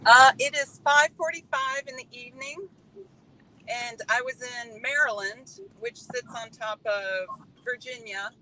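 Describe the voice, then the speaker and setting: A woman's voice: fairly high pitch, a little slow, sharp tone, slight background noise, rough recording. A woman joining a live chat while driving, speaking hesitantly with many fillers. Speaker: guest. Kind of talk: casual live-stream chat. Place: in a car in traffic.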